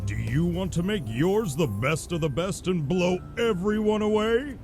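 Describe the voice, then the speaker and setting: A man's voice: high voice, expressive, a little slow, darkish tone. An actor voicing an exuberant male stall owner in a video game, hawking wares in rhyming sales patter. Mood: eager, salesy. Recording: music under the voice.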